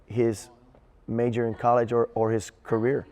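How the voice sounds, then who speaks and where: A man speaking as a theater director in an interview, earnest and halting, often repeating words as he thinks. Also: mid-range voice, little pitch movement, dark tone, long reverb tail. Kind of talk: interview reflection.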